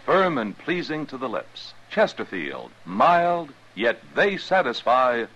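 A man's voice: medium pitch, sing-song, slow pace, very noisy. An American man announcing a 1950s radio program and reading its scripted sponsor copy. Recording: dull old radio recording.

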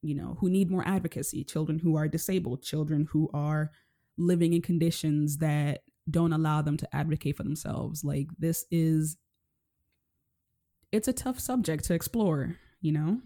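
A woman from Kenya hosting a casual interview, gentle and rambling, full of "like" and "you know". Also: sits low, normal speed. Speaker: podcast host.

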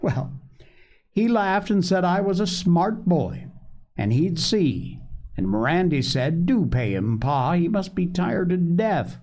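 A man plays a young boy from a children's storybook, high and very animated. He is hungry, easily indignant and pleased with himself. He rambles on eagerly, then slips into whining. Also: takes it slowly, heavily acted. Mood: chattering. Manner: boastful, whiny, rambling.

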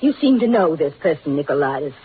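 Tinny vintage radio, imperious stage diva, very husky, animated, haughty, dramatic. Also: female voice, sits low, quick pace, exaggerated voice. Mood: alarmed, imperious. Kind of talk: 1940s radio acting. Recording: tinny, narrow old radio recording.

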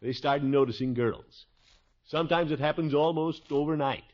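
An actor playing a fussy, kindly middle-aged uncle who coaxes hesitantly, in a 1950s radio comedy. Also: male voice, on the high side, normal speed, lightly acted. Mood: gentle reassurance. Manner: avuncular, hesitant, coaxing, swooping delivery. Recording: dull 1950s radio recording.

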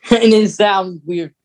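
A woman's voice: mid-range voice, darkish tone. A woman co-hosting a podcast in casual, playful chat, chiming in with corrections. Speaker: podcast co-host.

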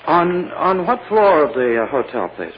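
A self-satisfied prosecutor in an old radio courtroom drama. His questioning is insistent and courtly, full of halting pauses, with a wide-swinging intonation. The sound is dull and slightly noisy. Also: fairly high pitch, big pitch swings. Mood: probing, controlled. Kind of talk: 1940s radio drama acting.

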